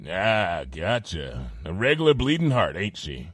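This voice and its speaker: A man acts out a folksy, blustery pony cook for an audio drama. His voice swings hugely in pitch, bright and forceful, in a very dry close recording, with an informal drawl and hearty manner. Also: wildly swooping pitch, unhurried, husky, no room sound, heavily acted. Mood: curious, relaxed. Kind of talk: audio drama character acting.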